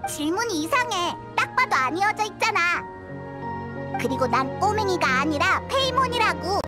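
An actress voicing a tiny, cheeky, excitable female sidekick in a game, bratty and quick to retort, with game music behind. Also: very high-pitched. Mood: indignant. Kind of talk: game voice acting.

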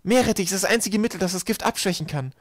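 A man's voice: sits high, fast, no echo, studio quality, pitched above the performer's own voice, lightly acted. A man voicing an earnest, resourceful teenage girl in a storybook, explaining things insistently. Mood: urgent, earnest.

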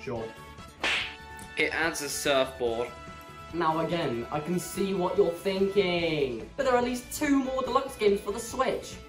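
A man's voice: high voice, animated, a little slow, over music. A teenage male video host reading a scripted game review in a wry, tongue-in-cheek manner.

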